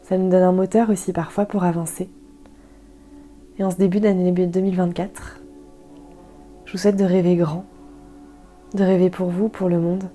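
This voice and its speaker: Woman, very dark mellow voice, soft and calm, guided meditation delivery, gentle pauses, music behind. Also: fairly low pitch. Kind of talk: guided yoga nidra meditation, soothing instruction.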